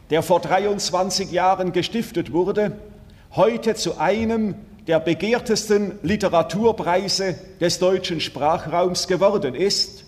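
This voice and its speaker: A man giving a formal ceremonial speech in a solemn, measured, declamatory manner as a veterans' representative. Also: high voice, a little mellow. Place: large hall at an award ceremony. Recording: echoey hall, archival public-address speech.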